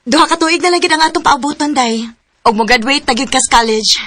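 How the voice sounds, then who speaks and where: A woman's voice: sits high, fast, fairly bright tone, soft background music. A woman playing a downcast young student. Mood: sad. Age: young adult. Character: struggling young female student, friend of Trisha. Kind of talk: radio drama acting.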